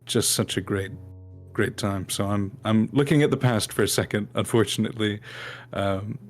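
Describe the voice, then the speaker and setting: A man's voice: on the low side, moderate pace. A man, a voice actor, chatting casually as a guest in a hesitant, earnestly grateful way. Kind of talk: casual chat. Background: background music.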